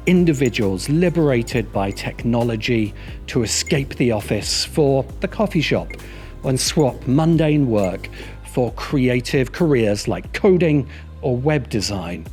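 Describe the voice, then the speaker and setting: A man's voice: medium pitch, a little mellow. A man reading a scripted video essay narration in a sardonic, opinionated manner. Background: music behind the voice.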